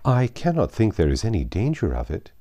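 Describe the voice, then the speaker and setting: Man reading as a composed, wry gentlewoman, low-pitched. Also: wildly swooping pitch, brisk, dry recording. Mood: calm, cool. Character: composed, wry, privately indignant young gentlewoman. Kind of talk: audiobook character reading.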